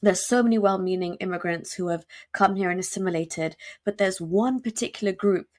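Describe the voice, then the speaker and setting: A woman speaks as a guest in an interview. She talks emphatically and at speed, and she often restarts her sentences and fills pauses with "um" and "like". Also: mid-range voice, a little mellow. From United Kingdom. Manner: emphatic, rapid, many fillers and restarts. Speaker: podcast guest. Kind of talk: conversational interview.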